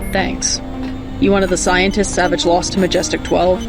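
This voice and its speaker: A stoic young covert agent in a video game, pitched on the high side yet terse and deadpan. Loud music plays behind him. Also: male voice, moderate pace. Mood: dry, curious.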